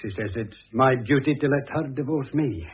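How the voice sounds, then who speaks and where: Actor as blustering middle-aged father, husky, muffled recording. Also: medium pitch, fairly quick, natural voice. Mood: troubled. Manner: blustering, indignant. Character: exasperated, self-important middle-aged businessman father. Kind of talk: old-fashioned radio drama acting. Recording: muffled, lo-fi old radio recording.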